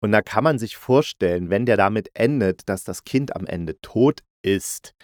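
A man giving a casual spoken review as a podcast host, conversational and colloquial, with clear opinions. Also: lowish voice, animated, moderate pace, no room sound, clean studio sound.

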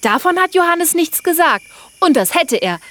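A woman's voice: extremely high pitch, big pitch swings, moderate pace, fairly bright tone, exaggerated voice. A woman voicing a brave, determined young girl, childlike and earnest, in a children's fairy-tale audio play.

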